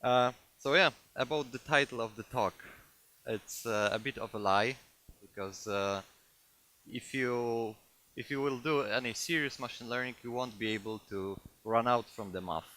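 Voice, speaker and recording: Bright-voiced Polish man giving a tech talk. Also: medium pitch. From Poland. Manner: halting, many fillers and restarts. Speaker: conference speaker. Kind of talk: technical talk to a live audience.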